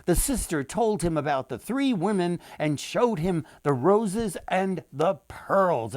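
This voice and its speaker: A man reading a folktale aloud as its author, in an expressive storytelling style with deliberate, dramatic pauses. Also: fairly high pitch, no echo.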